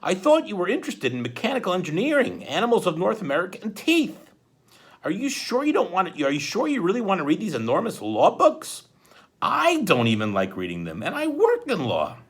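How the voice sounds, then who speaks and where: A man's voice: sits high, wildly swooping pitch, brisk, breathy edge, pitched above the performer's own voice, exaggerated voice. A man voicing a bubbly, gushing woman judge who is stage-struck, in a storybook read-aloud. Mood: puzzled. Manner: gushing, exclaiming.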